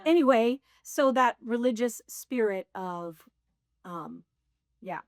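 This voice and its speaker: A woman's voice: fairly high pitch, animated, takes it slowly, no room sound, clean studio sound. A woman in her sixties sharing her faith story in a casual conversation, rambling and laughing at herself. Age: 60s. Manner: rambling, self-deprecating, laughs often. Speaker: guest.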